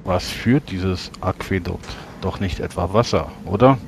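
A man with a fairly low voice plays a tabletop role-playing game. He works out his moves aloud in a casual, tentative way. There is faint noise on the recording. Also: measured pace, gravelly. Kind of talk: casual tabletop play, describing own actions.

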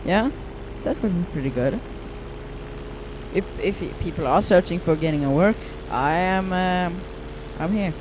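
Swedish guy chatting slow while gaming, lots of uh and restarts, a bit high voiced, noisy game sound. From Sweden. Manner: rambling, halting, many fillers and restarts. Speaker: gaming YouTuber. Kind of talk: casual gameplay commentary.

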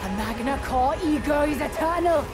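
A woman's voice: high voice, moderate pace, over loud music, natural voice. A woman playing an arrogant, wrathful sorceress villain in a video game, grandiose and theatrical. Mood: imperious.

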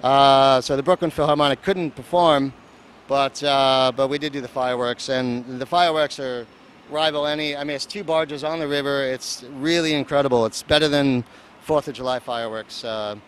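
A man's voice: mid-range voice, fairly even intonation, normal speed, a bit bright. A man, a festival producer, rambling and joking through a casual street interview outdoors. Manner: rambling, joking, lots of fillers. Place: outdoors at a seaport. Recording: faint street noise and traffic.

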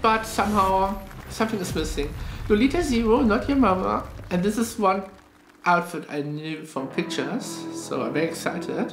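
A man from Germany in his thirties, speaking English, hosting a casual reaction video at home and making short, enthusiastic remarks. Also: fairly high pitch. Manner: chatty, enthusiastic, short remarks over the music. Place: home room with a flag on the wall. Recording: roomy home room, faint music behind.

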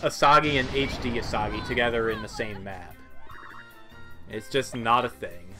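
A man's voice: medium pitch, normal speed, music in the background. A man hosting a gameplay video, giving casual, offhand and joking commentary.

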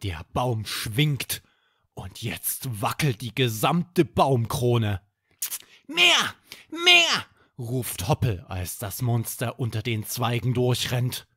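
Guy reading a kids bedtime story, unhurried n expressive, close dry sound. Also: medium pitch, breathy edge, no echo. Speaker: children's podcast host and storyteller. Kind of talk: children's bedtime story narration.

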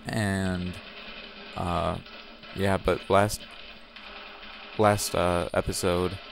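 A young man talks over game footage in a very low, gravelly voice with a slight rasp. He rambles loosely and keeps correcting himself mid-sentence. Music plays clearly behind him. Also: level tone, extremely slow. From Wisconsin, USA. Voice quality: raspy. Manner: rambling, self-correcting, chatty. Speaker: gaming channel host. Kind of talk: casual gameplay commentary.

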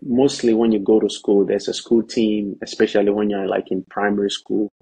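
A man from Ghana with a low, gravelly voice that stays quite flat. He speaks as a guest on a video call, calm and measured, leaning on "you know" between thoughts. The tone is dark and a little husky. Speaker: guest, professional soccer player. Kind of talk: casual interview talk. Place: indoors, bright room at home. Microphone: video call, laptop or phone camera.

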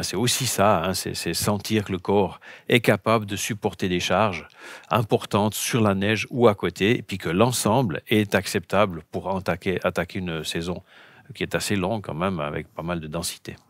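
Un homme d'âge mûr, invité sur un plateau télé, qui explique longuement en cherchant ses mots. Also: male voice, deep voice, dry recording. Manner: explanatory, searching for words, repeats himself. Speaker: guest fitness coach. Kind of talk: TV interview explanation. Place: TV studio set.

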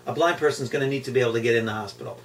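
A man's voice: mid-range voice, rapid-fire. A middle-aged man talking casually and reflectively to a camera, emphatic and repeating words for effect. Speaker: guide dog puppy raiser. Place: indoor room at home, seated on floor. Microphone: camera mic a few feet away. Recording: distant camera mic, hum and background noise.

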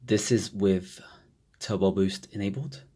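A man's voice: deep voice, a little slow, slight background noise. A young man doing a casual tech vlog at his home desk, talking straight to the camera with chatty enthusiasm. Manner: chatty, gets loud and giddy with excitement. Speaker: tech reviewer. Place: home desk room with monitors, indoors.

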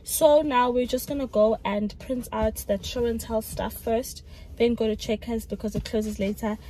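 A woman's voice: fairly high pitch, steady tone, normal speed, dark tone, a little hiss or hum, poor audio. A young woman, chatting casually to a phone camera as a vlogger while running errands, laughing often. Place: car and shops, out and about.

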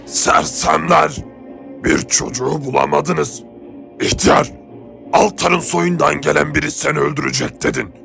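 A man playing a cruel, commanding warlord villain in an animated adventure, with a gravelly voice and menacing, imperious delivery. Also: on the high side, unhurried, heavily acted. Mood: furious. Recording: music behind the voice.

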